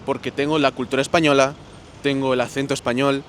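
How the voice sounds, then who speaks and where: A young man from Spain talks quickly and tells his answers as relaxed, rambling anecdotes. He has an ordinary male pitch, and faint noise hums behind him. He speaks easily and without strain. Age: young adult. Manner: relaxed, anecdotal, fillers like pues. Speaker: interviewee. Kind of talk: street interview answers.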